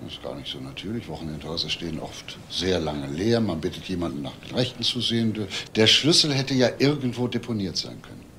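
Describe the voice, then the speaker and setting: Gravelly, probing police detective. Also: male voice, deep voice, sing-song, a little fast, few pauses, fairly bright tone, a little hiss or hum, heavily acted. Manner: probing, clipped questions. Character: shrewd, probing male police detective questioning suspects. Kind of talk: German TV crime drama interrogation.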